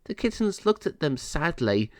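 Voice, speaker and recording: A storybook narrator with a mid-pitched voice reads a scripted children's tale. The delivery rises and falls in a lively way, and the sound is close and dry. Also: normal speed, dry recording.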